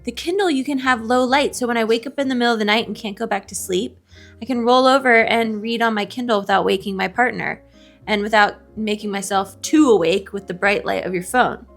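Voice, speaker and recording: A woman with a fairly high, clearly bright voice talks casually with her co-host. She is animated and opinionated, leaning on her words with playful indignation and slangy phrasing. Faint music plays behind her. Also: brisk. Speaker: co-host of a bookish video show. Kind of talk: casual opinionated chat with a friend.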